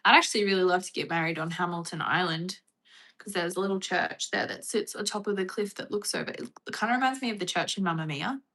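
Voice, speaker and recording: A woman's voice: fairly low pitch, quick pace, pushed and bright. An Australian woman chatting casually on a livestream, reading and answering viewer comments. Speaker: livestream host.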